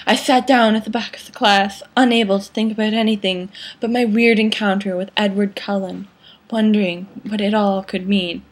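A young woman reads fan fiction aloud at medium pitch. Her delivery is theatrical and mock-dramatic, as if barely keeping a straight face. She is recorded on a computer microphone in a small room. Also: fairly even intonation, moderate pace. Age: young adult. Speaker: amateur reader. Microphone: webcam or laptop microphone at arm's length.

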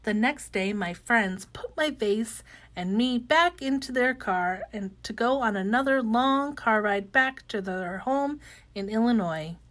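Woman voicing an excitable little storybook caterpillar, unhurried. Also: a little high. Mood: happy wonder. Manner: gentle, expressive, with excited exclamations. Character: cheerful, wide-eyed young monarch caterpillar turned butterfly. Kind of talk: animated read-aloud of children's picture book.

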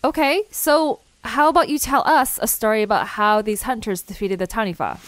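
A woman's voice: a little high, moderate pace, a bit bright, dry recording, close to an everyday voice. A woman playing an anxious, impatient but practical young adventurer in naturalistic audio drama, hesitant and exasperated. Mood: wary, exasperated. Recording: music under the voice.

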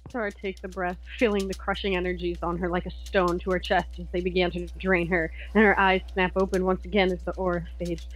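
A woman's voice: on the low side, level tone, fairly quick, few pauses. A woman roleplay partner, chatting casually and narrating, on an internet voice call. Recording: faintly noisy internet call with clicks.

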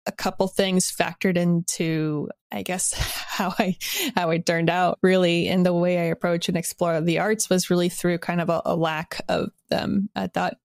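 An American woman in her thirties speaks in a lowish voice as a podcast guest. She is casual and reflective, rambling a little as she corrects herself. The sound is close and dry. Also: little pitch movement, moderate pace, gravelly, no echo. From rural Illinois, United States. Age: 30s. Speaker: podcast guest, audio drama writer and producer.

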